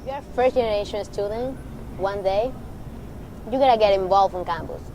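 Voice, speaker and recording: A woman's voice: medium pitch, a little fast. Young woman from Venezuela; earnest, encouraging student testimonial, traffic noise around her. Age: young adult. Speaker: university student. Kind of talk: personal testimonial. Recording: outdoor street noise.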